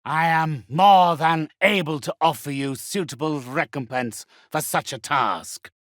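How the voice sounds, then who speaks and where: An actor playing a sinister, haughty undead necromancer in a video game, with a raspy voice and formal, drawn-out, menacingly polite delivery. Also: male voice, a little high, fairly bright tone, no room sound, studio quality. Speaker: spirit medium. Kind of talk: theatrical video game villain acting.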